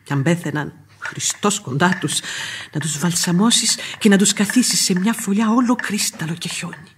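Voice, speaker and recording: An actress playing an outspoken, superstitious housekeeper who complains bluntly in a radio play. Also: female voice, fairly low pitch, a little hiss or hum, close to an everyday voice. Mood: musing, earthy. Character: outspoken, grumbling, superstitious female housekeeper and nanny. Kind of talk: radio theatre acting. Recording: radio drama with faint music behind.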